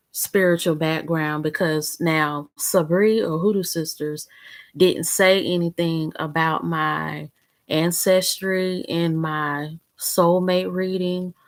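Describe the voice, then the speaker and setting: Chatty young woman with a lowish voice. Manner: chatty, rambling, conversational storytelling with fillers. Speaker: vlogger. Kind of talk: casual chat.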